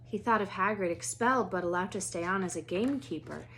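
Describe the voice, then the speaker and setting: A woman reads a storybook aloud as narrator, with expressive delivery. Her voice sits at a middle pitch. There is some light noise behind her. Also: fast, rough recording.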